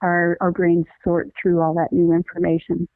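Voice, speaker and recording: A woman's voice: fairly low pitch, completely flat delivery, moderate pace, no room sound. Woman guest, middle-aged, earnest explainer with many restarts; dry phone-line sound. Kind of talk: conversational interview, explaining.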